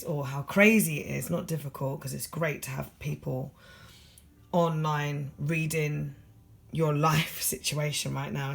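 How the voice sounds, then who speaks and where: A tired tarot reader with a husky voice and a London accent, talking fast and bluntly through a general tarot reading. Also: mid-range voice, fairly bright tone.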